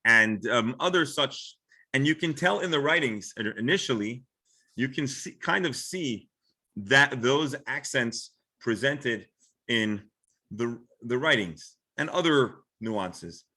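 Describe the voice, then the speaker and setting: Bright-voiced American rabbi explaining. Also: male voice, medium pitch, animated, slow. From Florida, United States. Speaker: rabbi leading a class discussion. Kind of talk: informal religious lecture with discussion.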